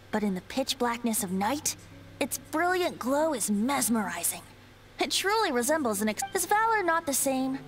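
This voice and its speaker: A woman's voice: high voice, a bit bright. A woman voicing a youthful male bard in a video game, playful, theatrical and poetic.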